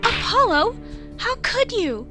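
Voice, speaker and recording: A woman playing a perky, expressive teenage daughter and sidekick in a video game fandub. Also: a little high, a little slow, loud music behind the voice, lightly acted. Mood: alarmed. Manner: girlish, expressive.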